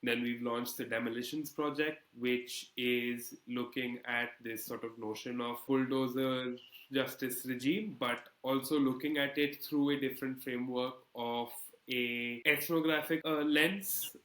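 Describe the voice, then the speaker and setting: A man talks through his editorial work in an interview, in a medium-pitched voice. His delivery is conversational and articulate, with a self-deprecating touch. The recording is noticeably echoey and roomy. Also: fairly even intonation, unhurried, a bit bright. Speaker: politics editor being interviewed.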